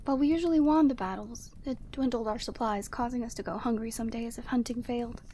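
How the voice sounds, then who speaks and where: A woman plays a lonely yeti woman with a fairly high voice, proud and motherly in an intimate ASMR roleplay. She is commanding but tender, often sinking into a breathy whisper. There is clear noise behind the voice. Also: quick pace, mellow, natural voice. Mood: sad, wistful. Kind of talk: ASMR roleplay, close and slow character acting.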